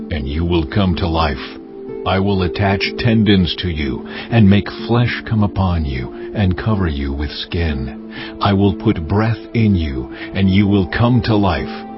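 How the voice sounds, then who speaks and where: An actor playing God in a dramatized scripture reading, speaking solemnly and deliberately, in a commanding, declamatory manner. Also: male voice, deep voice. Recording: dull muffled audio with music behind. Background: music.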